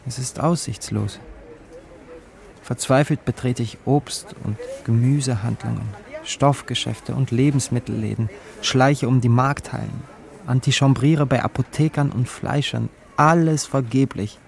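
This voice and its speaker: A man's voice: medium pitch, measured pace, vocal fry. An actor playing a desperate, brooding poor young man, telling his life in an intense, literary audio drama style. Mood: driven, hopeful. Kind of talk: first-person audio drama narration and dialogue.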